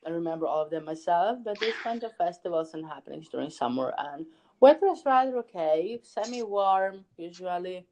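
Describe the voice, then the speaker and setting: A woman's voice: lowish voice, brisk, a little hiss or hum, poor audio. Chatty woman guest on a call. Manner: relaxed, uses "like" a lot, laughs easily. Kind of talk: casual interview chat. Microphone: online call.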